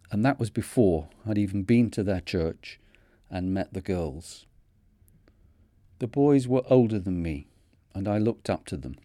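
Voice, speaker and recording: A man in his fifties with a Manchester accent, hosting a podcast and narrating his own story in a measured, earnest way. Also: lowish voice, dark tone, dry recording, clean studio sound. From North of England. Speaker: podcast host. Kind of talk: scripted reflective narration.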